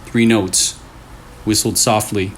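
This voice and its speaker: A man reading a scary story aloud in short, deliberate phrases with pauses for suspense. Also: fairly low pitch, level tone. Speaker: storyteller, channel host. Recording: clearly noisy room recording.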